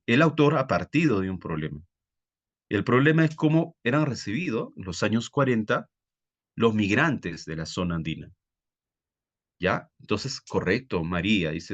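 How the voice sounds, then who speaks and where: A man's voice: fairly low pitch, lo-fi sound. A man with a Peruvian Spanish accent teaching a live online class, explaining didactically and often putting questions to his students. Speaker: teacher, exam-prep tutor. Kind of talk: live online reading-comprehension lesson.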